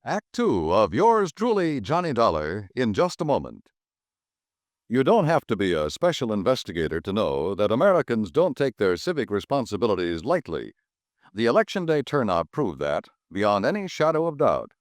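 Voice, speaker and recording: A man's voice: lowish voice, wildly swooping pitch, moderate pace, no echo, clean studio sound. A man making a formal, declamatory announcement in a 1950s network radio broadcast.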